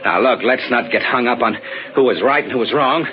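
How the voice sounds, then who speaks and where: An actor playing a tough, wry young American private detective in a radio drama, with hardboiled slangy delivery. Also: male voice, mid-range voice, fairly quick, a little hiss or hum, lightly acted. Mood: brisk, sardonic. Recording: dull old radio recording with music.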